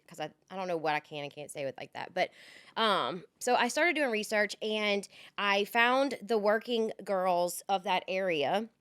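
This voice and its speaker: Woman co-host, candid rambling storyteller, mid pitch, dry close sound. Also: fairly bright tone, no echo. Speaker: podcast co-host. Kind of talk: casual chat.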